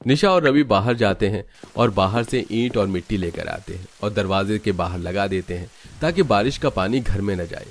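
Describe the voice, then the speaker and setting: A man's voice: on the low side, expressive, normal speed, few pauses, a little hiss or hum. A man narrating a scripted cartoon family story.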